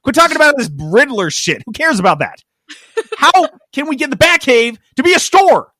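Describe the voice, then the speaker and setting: Man putting on a loud, brash, greedy executive. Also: very high-pitched, sing-song, normal speed, dry recording, pitched above the performer's own voice, heavily acted. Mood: impatient, scornful. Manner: brash, dismissive, pushy. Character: impatient, greedy, bullying game executive who scorns nerds.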